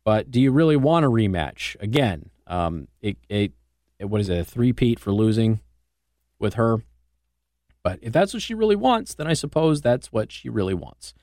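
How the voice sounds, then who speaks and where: Casting: American male radio host, mid-range voice, conversational but emphatic, pausing for effect, tight dry studio mic. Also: mid-range voice, expressive, darkish tone, no echo. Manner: conversational, emphatic, with rhetorical questions and pauses for effect. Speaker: radio talk show host. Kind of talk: conservative talk radio monologue with host-read ads. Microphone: close broadcast microphone.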